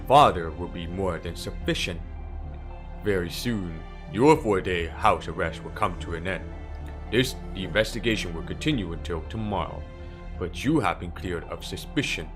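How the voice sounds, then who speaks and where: A man acts out a sinister priest with a low-pitched voice, formal and unhurried in manner. He is politely menacing and smoothly condescending, in the style of a dramatic game dub, with music playing underneath. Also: lively intonation. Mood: courteous, calm. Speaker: amateur voice impressionist. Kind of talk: dramatic fan dub character acting.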